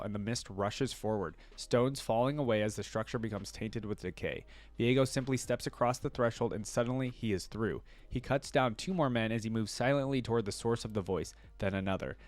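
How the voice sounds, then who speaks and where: A man's voice: medium pitch, a little fast, darkish tone. A man hosting a reaction video, reading story text aloud and adding casual commentary.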